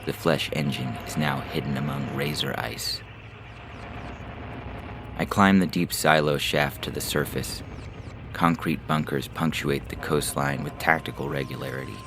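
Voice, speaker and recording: A man reading a literary story as a narrator in a measured, solemn delivery. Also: a bass voice, steady tone, noisy background. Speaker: narrator and podcast host. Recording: music behind the voice. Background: music bed.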